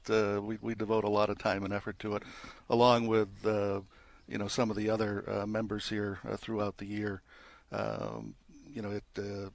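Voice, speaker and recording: A man with a somewhat low voice answers interview questions about the tournament he organizes. He stops and restarts often and leans on fillers. The recording is dry, with no room sound. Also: no echo. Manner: halting, many fillers and restarts.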